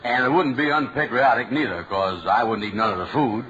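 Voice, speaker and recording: A man's voice: medium pitch, a bit bright, natural voice. An actor playing a lonely, broke man who pines after girls, in 1940s radio comedy style. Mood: scheming. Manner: slow, mopey, easily tempted. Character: lonely, girl-crazy, broke middle-aged man. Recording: muffled old radio broadcast with hiss.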